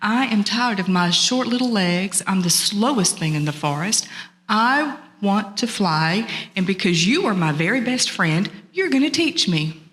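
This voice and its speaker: A woman's voice: medium pitch, animated, unhurried, a bit bright. A woman voicing a frustrated, insistent male turtle who longs to fly, in an oral folktale. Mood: pleading, insistent. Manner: insistent, complaining. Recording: long reverberant hall sound.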